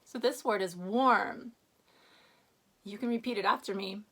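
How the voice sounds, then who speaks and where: A woman teacher giving a reading lesson to young children, prompting them with questions and reading aloud with expressive emphasis. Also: mid-range voice, unhurried. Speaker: first-grade teacher.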